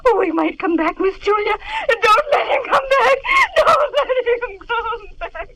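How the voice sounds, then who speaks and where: A woman's voice: very high voice, animated, measured pace, pushed and bright, raised voice, exaggerated voice, poor audio. An actress playing a terrified maid, a sobbing, panicked servant woman in a 1940s radio drama.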